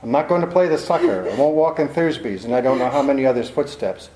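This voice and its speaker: A man's voice: mid-range voice, expressive, fast talker, warm tone, reverberant, slight background noise, close to an everyday voice, poor audio. An actor playing a hard-boiled, cynical male private detective in a 1940s-style radio drama, with a clipped, sardonic delivery. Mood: hard, blunt.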